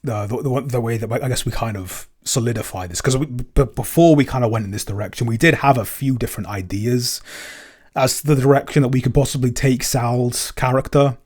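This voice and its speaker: A man's voice: medium pitch, brisk, darkish tone, husky. A man co-hosting a casual Q&A, rambling and repeating phrases as he finds his words. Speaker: podcast co-host and producer. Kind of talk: casual Q&A chat.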